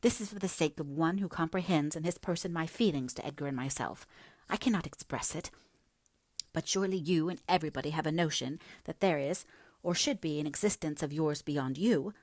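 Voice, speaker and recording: A woman voicing a passionate, headstrong young gentlewoman in a dramatic, emphatic audiobook reading, breathy at times. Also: low voice, a little fast, no room sound, voice pushed up. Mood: impassioned.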